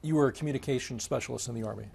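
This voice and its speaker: A man's voice: medium pitch, very fast, vocal fry, noisy background. Male reporter with mid-range voice. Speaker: TV news reporter. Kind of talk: live report and interviewing.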